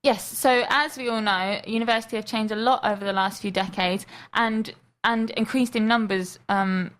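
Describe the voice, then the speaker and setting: A woman's voice: a little high, fairly even intonation, moderate pace, fairly bright tone. Young woman, careful, explanatory, scripted, ums. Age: young adult. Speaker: student presenter. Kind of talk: scripted radio presentation.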